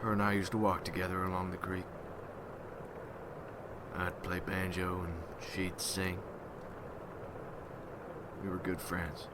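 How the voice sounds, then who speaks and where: Guy doing a weary folksy vampire hunter for asmr, low flat voice, halting and somber, noisy ambience behind. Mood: wistful. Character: weary, haunted rural American Civil War veteran turned vampire hunter. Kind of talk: ASMR roleplay monologue.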